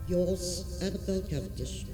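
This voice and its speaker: A woman's voice: on the low side, unhurried, music in the background, close to an everyday voice, rough recording. A woman playing a genteel, commanding Victorian lady ghost, reading her letter formally and with composure. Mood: composed, instructing.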